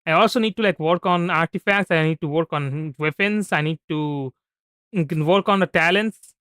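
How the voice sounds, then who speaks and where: A man's voice: on the high side, normal speed, no echo, clean studio sound. A man chatting casually through a game livestream, rambling and often restarting his phrases. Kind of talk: casual gameplay livestream commentary. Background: soft background music.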